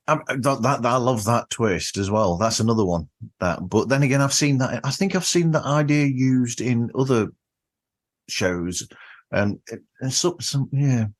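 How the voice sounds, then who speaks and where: A man hosting a podcast, chatting casually and effusively with halting phrasing. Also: mid-range voice, animated. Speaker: podcast host. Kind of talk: casual interview.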